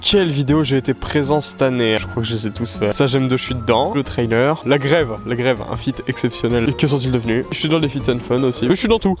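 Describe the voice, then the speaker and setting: A man's voice: mid-range voice, fast talker, hardly any pauses. An eighteen-year-old male drama student, playful and giggly, answering interview questions with a boastful streak. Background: background music.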